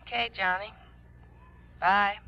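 A woman's voice: medium pitch, fairly even intonation, slow, creaky, bright, forceful tone, clear, resonant tone, full character voice. An American actress playing a sweet, forward girlfriend in an old radio drama. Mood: pressing, hurt. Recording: dull old radio, telephone sound.

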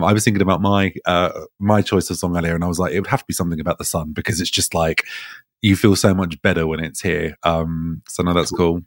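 Brief: man hosting a podcast, low voice, gushing and chatty. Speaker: podcast host. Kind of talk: podcast intro and interview.

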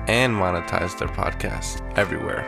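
A man reading a network promo in a calm, measured way. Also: low-pitched, gravelly, loud music behind the voice. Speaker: network announcer.

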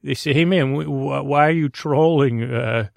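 Gravelly, meandering male bedtime podcaster. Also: mid-range voice, moderate pace, dry recording. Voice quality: gravelly, creaky. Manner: meandering, hesitant, trailing off into tangents. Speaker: podcast host. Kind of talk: rambling bedtime monologue.

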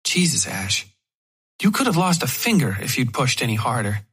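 Man voicing a concerned, professional woman, pitched up. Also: very animated, moderate pace, fairly bright tone, slightly rough, full character voice. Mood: concerned, clinical. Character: professional, caring woman doctor, brisk and concerned.

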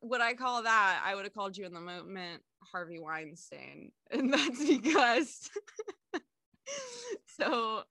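A woman's voice: fairly high pitch, takes it slowly, no room sound, studio quality. A young woman co-hosting a podcast, telling stories in casual chat and laughing often. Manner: animated storyteller, laughs often. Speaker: podcast co-host.

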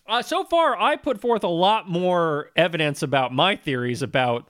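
A man's voice: high voice, expressive, normal speed, a bit bright. A man co-hosting a comedy podcast, joking crudely and arguing in mock seriousness about song lyrics. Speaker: podcast co-host.

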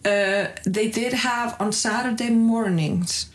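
A woman's voice: on the high side, slow, a little hiss or hum. A young adult woman from northern Iceland, a comedian, chatting casually backstage in a green room, laid-back and swearing freely. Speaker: comedian guest.

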